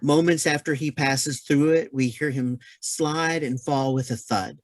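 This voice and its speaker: A man's voice: fairly high pitch, fairly even intonation, measured pace, no echo. A man reading stage directions as scripted narration for a play.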